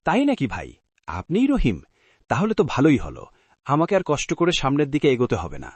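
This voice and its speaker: Man acting a courteous, higher-voiced factory manager. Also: huge pitch swings, a little fast, husky, dry recording, heavily acted. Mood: polite. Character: polite, trusting factory manager from town. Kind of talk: cartoon voice acting.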